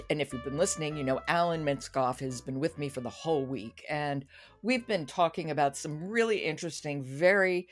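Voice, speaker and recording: Woman hosting, faint music behind. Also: sits low. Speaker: podcast host. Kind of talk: conversational book podcast hosting.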